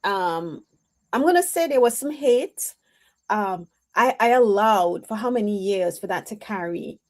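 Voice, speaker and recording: A woman giving an intuitive spiritual reading on a live stream, answering her chat in a reflective, halting way with many pauses and "um"s. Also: mid-range voice, lively intonation. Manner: slow, reflective, halting with frequent "um"s.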